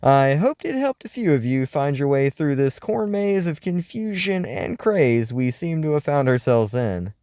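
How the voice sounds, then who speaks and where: A man playing a wry, uneasy host of a late-night paranormal radio show, speaking in a scripted, folksy, theatrical way with deliberate pauses. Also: on the high side, very animated, darkish tone, no room sound, clean studio sound. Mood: warm sign-off.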